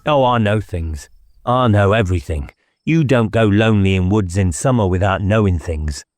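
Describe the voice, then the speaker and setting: A man's voice: on the low side, lively intonation, normal speed, a little mellow, no room sound, lightly acted. Man voicing a muttering, unhinged young schemer. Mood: brooding, boastful. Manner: muttering, boastful, repetitive.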